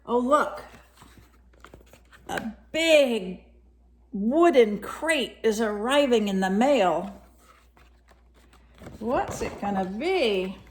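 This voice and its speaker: A woman with a slightly high voice reads a storybook to young children. Her delivery is expressive and animated. She breaks from the text to explain and to ask her listeners simple questions. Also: very slow, long pauses, a little hiss or hum. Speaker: storyteller, teacher reading to children. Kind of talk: expressive picture-book read-aloud with questions to young listeners.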